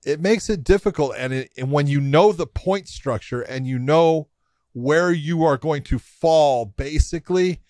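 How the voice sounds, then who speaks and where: A man's voice: fairly high pitch, measured pace, darkish tone, dry recording. Blunt man with a dry close voice. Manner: blunt, swears, stammers on starts. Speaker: podcast co-host, fighter. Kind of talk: casual sports chat.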